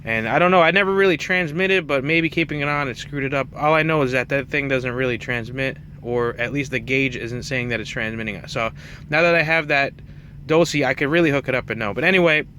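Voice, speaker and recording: Man, bright forceful voice, casual rambling vlog talk, self-effacing, faint vehicle cab noise behind. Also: medium pitch, a little fast. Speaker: truck driver and CB radio hobbyist presenting his setup.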